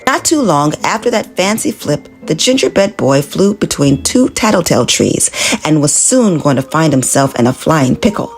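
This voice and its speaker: A woman narrating a children's storybook in an animated, expressive read-aloud manner. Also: sits low, moderate pace, faint music behind.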